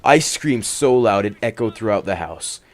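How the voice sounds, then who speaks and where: Male horror-story host, fairly deep voice, dramatic delivery that moves between hushed and emphatic. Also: lively intonation, normal speed. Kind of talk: dramatic first-person horror narration with casual commentary.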